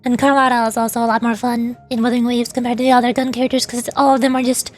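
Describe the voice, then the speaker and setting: A woman's voice: a little high, level tone, rapid-fire, soft background music. A woman streamer giving casual, chatty, opinionated gaming commentary in a rambling way. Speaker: VTuber streamer.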